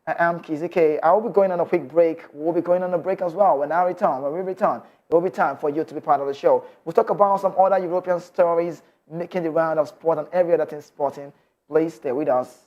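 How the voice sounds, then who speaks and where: High-voiced Nigerian sports host. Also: male voice, fairly even intonation, fast, lo-fi sound. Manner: passionate, mixes in Nigerian Pidgin. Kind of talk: sports news reading and opinionated commentary.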